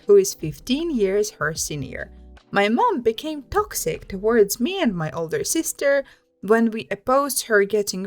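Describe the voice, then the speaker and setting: A woman hosting a story channel, reading first-person written accounts aloud and adding casual commentary. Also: on the high side. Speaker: host reading online stories with commentary.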